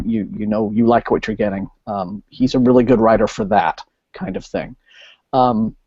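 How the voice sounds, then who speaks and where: Male book-show host, unscripted and halting, thinks aloud; dry, lo-fi online audio. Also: medium pitch, darkish tone, dry recording. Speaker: host of a book discussion liveshow. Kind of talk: casual unscripted book discussion. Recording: dull, lo-fi online call audio.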